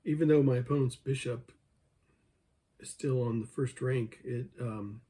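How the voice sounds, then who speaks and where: A man who is losing his voice, hoarse, thinking aloud over an online chess game and joking with himself as he plays. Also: mid-range voice, measured pace, warm tone. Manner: mutters his thoughts, talks to himself, jokes self-deprecatingly. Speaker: chess video creator.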